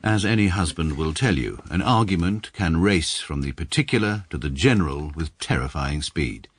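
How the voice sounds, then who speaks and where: A man's voice: deep voice, lively intonation, slightly rough, dry recording. An English actor playing a precise, dry, middle-aged male barrister who narrates his own story in a radio drama. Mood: measured. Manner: measured, dry and literal.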